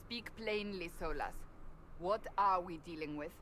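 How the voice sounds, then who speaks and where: Lowish-voiced armored warrior, direct, probing, fantasy game dialogue, faint background noise. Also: female voice, measured pace, fairly bright tone. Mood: questioning. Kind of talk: video game voice acting.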